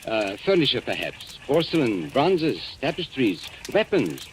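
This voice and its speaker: A man's voice: mid-range voice, big pitch swings, a little slow, low-quality recording. A man playing a suave, menacing antique dealer and blackmailer in an old radio mystery, polite, smug and theatrical. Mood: smooth, salesmanlike. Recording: old radio recording with background hiss.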